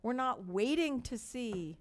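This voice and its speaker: A woman's voice: on the high side, animated. Older woman pastor with a light Texas accent. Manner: slow, emphatic repetition with warm storytelling asides. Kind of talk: sermon.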